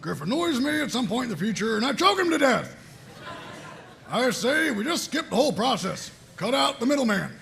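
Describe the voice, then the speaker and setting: A man playing a gruff, bossy, callous military sergeant in a comedic table read, with a gravelly, blustering, emphatic delivery. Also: very high voice, sing-song, normal speed, fairly bright tone, a little hiss or hum, exaggerated voice. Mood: smug, scheming.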